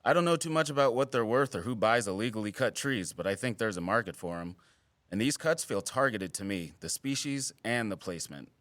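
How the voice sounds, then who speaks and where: An actor playing a wry, guarded wildlife biologist in an audio drama, with teasing, dry sarcasm and an earnest streak. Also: male voice, lowish voice, lively intonation, a little fast, fairly bright tone, dry recording. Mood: matter-of-fact. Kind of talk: naturalistic audio drama acting.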